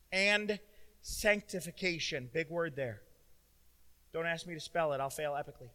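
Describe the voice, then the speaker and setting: A middle-aged man preaching a sermon as pastor, loud and emotional, with self-deprecating humor. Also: high-pitched. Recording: long hall reverb.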